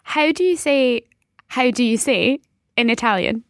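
A woman with a slightly high voice speaks slowly and carefully, echoing phrases back as she learns them. The recording is dry and close. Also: expressive. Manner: careful, repeats phrases after the teacher. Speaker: co-host learner. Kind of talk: repeating phrases in a lesson.

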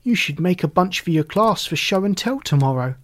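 A woman voicing an eager, excited little brother kitten in a children's storybook. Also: lowish voice, a little fast.

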